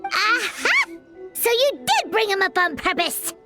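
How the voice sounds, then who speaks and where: A very high, childlike little girl sidekick with swooping, animated delivery. She is cheeky, blunt and excitable in anime game style, with music playing underneath. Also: a little slow, heavily acted. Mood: candid, animated. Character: small floating childlike girl companion, bold and excitable. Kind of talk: anime game dialogue acting.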